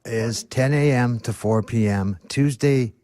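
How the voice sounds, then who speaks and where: A man's voice: medium pitch, measured pace, a little mellow, dry recording, clean studio sound. A middle-aged man gives plain-spoken answers as a guest in a radio interview. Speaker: radio guest, store manager.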